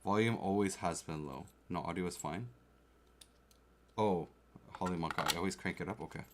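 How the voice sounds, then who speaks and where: A man's voice: low-pitched, normal speed, slight background noise. A man livestreaming as he builds a keyboard, chatting casually and checking in with his viewers over a desk mic. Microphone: desk mic close to the keyboard. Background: faint keyboard clicks and typing.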